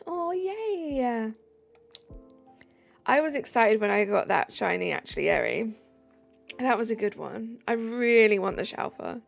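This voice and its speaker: A woman's voice: on the high side, big pitch swings, a little slow, vocal fry, pauses often. A woman from the south of England with a southern English accent, live streaming and chatting casually with viewers, reading out comments between fillers. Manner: chatty, reads out and answers chat, trailing fillers. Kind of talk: casual chat, answering viewer comments while gaming. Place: home room. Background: faint background music.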